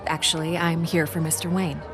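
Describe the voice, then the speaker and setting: A confident, probing reporter in a video game drama. Her voice sits on the low side, poised and coolly flirtatious, with music playing behind her. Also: little pitch movement, brisk, crowd noise.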